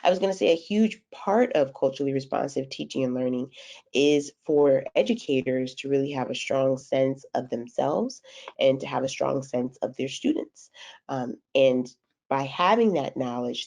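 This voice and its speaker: A woman's voice: a bass voice, vocal fry, warm tone. A woman in her thirties with a stuffy nose from a cold, speaking articulately as a guest educator on a video call. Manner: articulate, measured, with fillers. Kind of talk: webinar interview.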